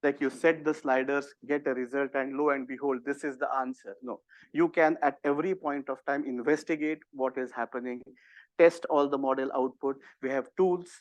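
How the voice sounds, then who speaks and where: A man with an Indian accent lectures a workshop audience in a fairly high voice. His talk is conversational and full of restarts and fillers. The sound is dry and close. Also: no echo, rough recording. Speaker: workshop instructor.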